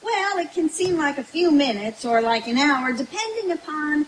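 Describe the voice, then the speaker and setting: A woman's voice: high-pitched, animated, moderate pace. A woman playing a folksy, jokey country hostess in a live old-time radio comedy. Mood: cheerful. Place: outdoor night stage in the woods. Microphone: stage microphone, filmed by distant camera. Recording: noisy outdoor stage recording from afar.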